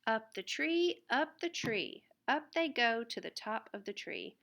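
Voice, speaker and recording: A woman reading a children's picture book aloud in an expressive, deliberate way. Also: fairly high pitch, creaky. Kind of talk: read-aloud children's storybook narration.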